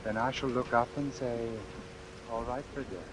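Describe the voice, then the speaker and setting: An actor playing an anxious, doomed man in a vintage radio suspense drama, speaking in a hesitant, measured way. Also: medium pitch, steady tone, noisy background, close to an everyday voice. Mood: resigned. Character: anxious doomed man who arranged his own killing. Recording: vintage radio recording with music and rain. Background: music and rain.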